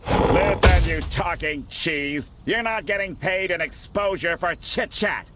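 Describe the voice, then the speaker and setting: An actor playing a haughty, impatient, melodramatic film director in a cartoon game, barking commands. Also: male voice, sits high, unhurried. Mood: impatient, commanding. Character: bossy, impatient, theatrical male film director. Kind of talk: cartoon game cutscene acting. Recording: music behind the voice.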